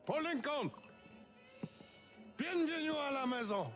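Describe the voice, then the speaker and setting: A man playing a proud, warm-hearted middle-aged father figure, folksy and expressive, in a game cutscene. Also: extremely high pitch, a little slow, faint music behind, full character voice. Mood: fond, proud.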